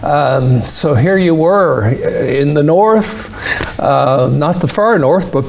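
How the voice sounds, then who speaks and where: Slow, gravelly-voiced male interview host. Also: fairly high pitch, animated, a little mellow, a little hiss or hum. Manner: deliberate with many hesitations and fillers. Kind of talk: slow, measured interview questions.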